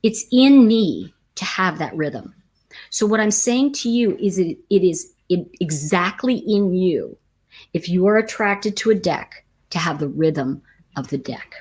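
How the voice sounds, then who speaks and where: A woman's voice: fairly low pitch, animated, unhurried, a little mellow, husky. An American woman hosting a tarot talk, telling stories in a rambling, chatty way with many restarts. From United States. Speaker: tarot teacher and host. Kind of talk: casual storytelling livestream.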